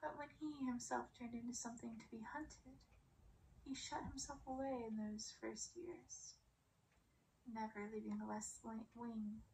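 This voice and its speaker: Woman, ASMR storyteller, soft whispers, mellow and creaky, faint noise behind. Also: fairly high pitch, level tone, measured pace, poor audio. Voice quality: soft, breathy whisper at times. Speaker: ASMR reader.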